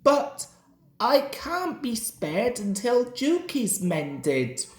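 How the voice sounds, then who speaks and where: A man's voice: very high voice, huge pitch swings, extremely slow, pitched above the performer's own voice, heavily acted. A man voicing a proud, boastful and impatient little steam engine in a children's storybook reading, huffy and self-important. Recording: roomy, echoing home recording.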